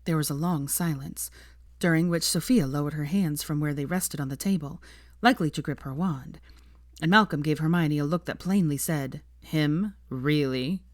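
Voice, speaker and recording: Casting: woman audiobook narrator, low register, close and dry recording. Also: normal speed, no echo. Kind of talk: scripted fiction narration, audiobook reading.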